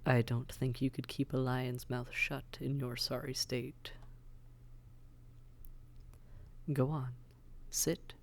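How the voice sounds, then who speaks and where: A man playing a suave, seductive demon prince in an intimate audio drama, breathy and often near a whisper, teasing and coaxing in formal wording. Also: mid-range voice, darkish tone, slight background noise. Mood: teasing, menacing.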